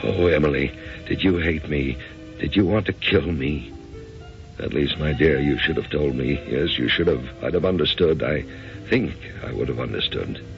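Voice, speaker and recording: Very deep, gravelly troubled American husband. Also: male voice, normal speed, over music. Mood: anguished, reflective. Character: troubled, insistent remarried husband and narrator. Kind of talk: old-time radio drama acting with narration. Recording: dull, noisy old radio recording.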